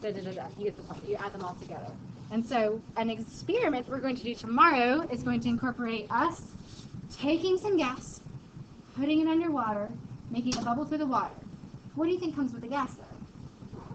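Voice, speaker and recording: A woman teaching a high school chemistry class in a casual, joking way, quizzing her students as she goes. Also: a little fast. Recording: muffled classroom recording, background noise.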